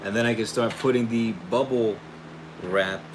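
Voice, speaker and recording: Guy doing a chill home livestream, excited sales pitch, voice getting tired, ac hum in the room. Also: moderate pace. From United States. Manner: upbeat, enthusiastic pitch with friendly asides. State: says he is losing his voice. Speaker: channel host selling his own hair product. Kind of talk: casual livestream chat, reading viewer questions. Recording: steady air conditioning hum behind.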